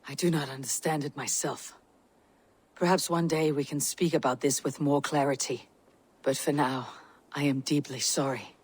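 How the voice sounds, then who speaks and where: A woman voicing a steadfast, fearless Viking warrior in a video game, grave and deliberate. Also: a bass voice, fairly even intonation, breathy edge. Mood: grave, regretful. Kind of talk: dramatic video game dialogue.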